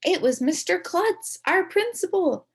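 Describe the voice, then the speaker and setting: A woman reading a children's story aloud in the first person as a kid, expressively, with dramatic emphasis and drawn-out words. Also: very high voice, animated. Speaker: storybook reader.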